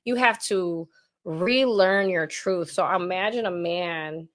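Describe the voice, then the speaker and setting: A woman in her thirties, a guest on a podcast, speaks in a somewhat low voice. She is earnest and rambling, circling her points in candid, loose conversation. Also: slow pace, a bit bright. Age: 30s. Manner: earnest, rambling, repeats "you know what I'm saying".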